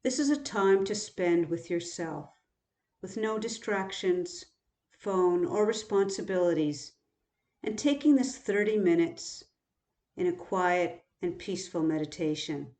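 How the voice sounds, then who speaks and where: A woman guiding a body scan meditation in a calm, unhurried, instructional way, leaving long pauses. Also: on the low side. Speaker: meditation teacher. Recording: roomy, echoing sound.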